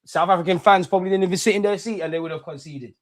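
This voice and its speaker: A young man with a London accent hosting a football watch-along, chatting casually and excitably in slang with his viewers. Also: high-pitched, rapid-fire. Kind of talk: casual live reaction commentary and chat with viewers.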